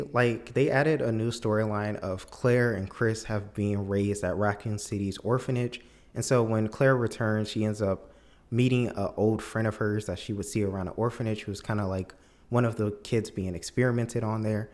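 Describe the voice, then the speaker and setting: Low-voiced man giving casual movie commentary. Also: darkish tone. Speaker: video commentator. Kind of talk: casual film review commentary.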